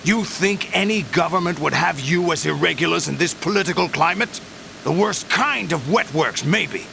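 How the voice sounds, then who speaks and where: An actor playing a cold, imperious villain commander in a video game, with a gravelly voice and deliberate, commanding delivery. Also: male voice, a little high, lively intonation, pushed and bright, a little hiss or hum, full character voice. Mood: stern. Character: imperious, calculating male mastermind commanding a mercenary army. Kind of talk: video game cutscene acting.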